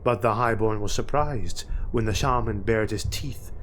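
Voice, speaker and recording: A man narrating a fantasy audiobook with measured, deliberate, dramatic storytelling. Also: lowish voice, fairly bright tone, slight background noise.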